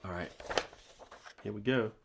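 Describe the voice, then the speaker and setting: A man's voice: fairly low pitch, a little slow, creaky, background noise. A middle-aged man, chatting informally and in a rambling way to his viewers as a channel host. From New Zealand. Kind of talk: casual talk to viewers.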